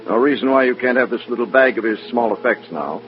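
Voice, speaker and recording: An actor playing an obliging veterans' organization official, businesslike and formal, in mid-century radio drama style. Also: male voice, mid-range voice, quick pace, natural voice. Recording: muffled old radio recording with music.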